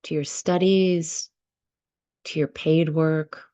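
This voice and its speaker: Low, mellow-voiced woman guiding a meditation. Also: steady tone, clear, resonant tone, no room sound. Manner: gentle, unhurried, with many pauses between short phrases. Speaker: guided meditation facilitator. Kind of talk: guided visualization and meditation. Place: online video session.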